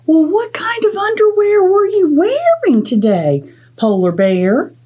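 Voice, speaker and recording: A woman's voice: very high voice, sing-song, measured pace, dark tone, voice pushed up, heavily acted. A woman voicing an eager, helpful little storybook mouse with wide-eyed, sing-song questions. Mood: caring. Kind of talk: expressive storybook read-aloud.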